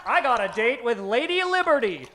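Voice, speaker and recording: A man's voice: very high-pitched, sing-song, fairly quick, a loud crowd. A man playing a wide-eyed factory worker, full of exaggerated wonder, in a vintage radio advert parody. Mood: amazed, proud.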